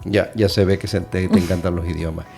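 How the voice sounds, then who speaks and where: Low-voiced man hosting an educational radio chat. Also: fairly even intonation, fairly quick, vocal fry, dark tone, soft background music. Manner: hesitant, thoughtful, many fillers. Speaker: radio host and adult education teacher.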